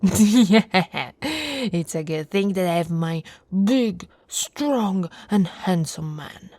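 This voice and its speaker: A woman's voice: on the low side, animated, drawn-out words, no room sound, exaggerated voice. A woman voicing a tough, teasing and affectionate orc warrior wife in a playful ASMR roleplay. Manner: playful banter, soft laughs and murmurs.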